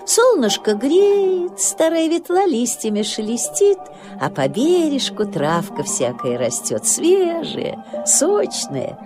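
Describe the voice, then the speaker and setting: A woman narrating a children's story in an expressive storybook style, with lilting, unhurried phrasing. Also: high-pitched, over music.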